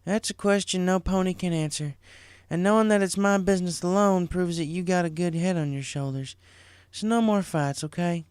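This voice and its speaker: An earnest, caring farm pony mare from a storybook voiced by a man. The voice sits well above a man's usual pitch and talks with big-sisterly firmness. It is a close, dry recording. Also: normal speed, clean tone, no room sound, close to an everyday voice. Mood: reassuring. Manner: gentle, firm big-sisterly talk.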